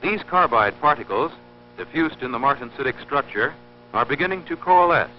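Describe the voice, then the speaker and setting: An old, muffled film soundtrack with a faint mains hum carries a man's voice. He narrates industrial instruction from a script in a formal, precise way. His pitch sits in the middle range, and his delivery stays measured and didactic. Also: expressive.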